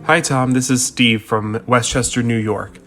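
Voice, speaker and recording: A man's voice: mid-range voice, steady tone, unhurried, a bit bright, faint music behind. A man with an American accent giving a prepared spoken review as a podcast listener, articulate and orderly. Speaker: listener leaving feedback for a podcast.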